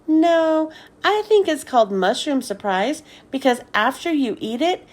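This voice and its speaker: Woman doing high-pitched, excitable young schoolkids. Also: measured pace. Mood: curious.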